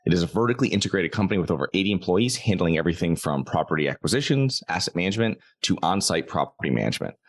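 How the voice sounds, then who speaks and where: A podcast host, a man with a very low voice, reads a brisk sales pitch and then interviews his guests. He talks in a plain, steady way and repeats key points. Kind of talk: scripted ad read and interview.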